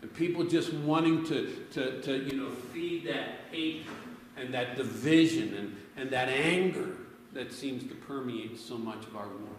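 Middle-aged pastor echoing in a church. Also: male voice, mid-range voice, unhurried, a little hiss or hum. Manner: conversational storytelling, asks the congregation questions. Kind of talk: sermon. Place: church sanctuary, before a congregation. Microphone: far camera. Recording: echoey church from a distant camera.

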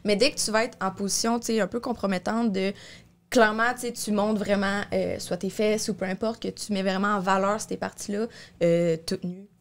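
Fast-talking Québécois woman with gravelly fry. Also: medium pitch, steady tone. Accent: Québécois French. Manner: explanatory, many fillers and self-corrections. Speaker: sexual violence support worker guest. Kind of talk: casual podcast discussion. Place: small podcast studio. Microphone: studio mic on boom arm, headphones.

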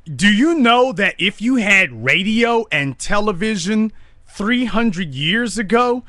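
A man hosts a call-in talk show, taking callers and lecturing them bluntly. His voice is bright and forceful. The sound is dry and close, with no room around it. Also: sits high, lively intonation, takes it slowly, no echo.

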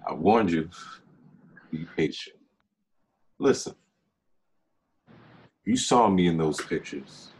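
A young man playing a smooth, evasive online date in a low-key script read over a video call. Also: lively intonation, takes it slowly, pauses often, darkish tone, heavily acted. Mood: evasive, calm. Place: dim room at home, indoors.

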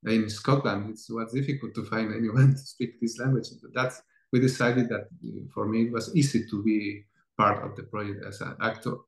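A man in his thirties whose first language is Mixtec, speaking English haltingly and searching for words as a producer in a festival Q&A. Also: fairly low pitch, fairly even intonation, gravelly. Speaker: film producer and actor. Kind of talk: festival Q&A over video call. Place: home room, indoors. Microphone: video call. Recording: roomy video call audio.

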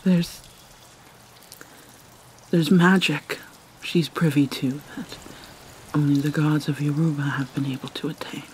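A woman performs a haunted mermaid cursed with vampirism in an ASMR roleplay. She speaks very slowly and mournfully, with long pauses, her soft breathy voice often sinking to a whisper. Steady rain runs behind her. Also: sits low, a little hiss or hum, rough recording. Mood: solemn, wistful. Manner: halting, mournful, dramatic pauses. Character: vampire mermaid, sorrowful young-looking princess, centuries old, haunted. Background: rain ambience.

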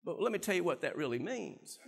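A middle-aged man preaching a sermon from a church stage on a lapel mic, animated and swinging between quiet and loud passages. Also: sits high, brisk, creaky, breathy edge. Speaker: pastor.

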